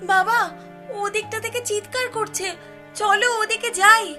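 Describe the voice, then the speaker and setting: A woman's voice: extremely high pitch, fairly even intonation, takes it slowly, sharp tone, faint music behind, full character voice. A woman playing a concerned young forest girl, a devoted daughter, in an audio drama. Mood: concerned, earnest. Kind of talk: Bengali audio drama acting.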